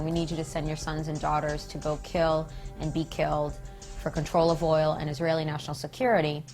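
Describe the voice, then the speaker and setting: American female interviewee, slightly deep voice, pointed and emphatic political speaker, faint music underneath. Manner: emphatic, uses rhetorical questions. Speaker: interviewee, peace activist speaker.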